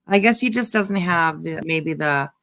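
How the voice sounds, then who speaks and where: Woman, lower-pitched, unhurried, casual true-crime commentary, halting and repetitive, emphatic. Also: a bit bright. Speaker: host giving commentary on a true-crime case.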